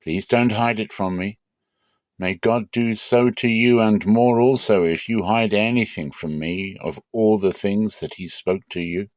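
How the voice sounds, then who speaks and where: A man as an elderly priest, gentle, fatherly, measured, grave, dry recording, scripture drama. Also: lowish voice, no room sound, lightly acted. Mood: grave, resigned. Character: gentle, grave old male priest, fatherly, dim-eyed.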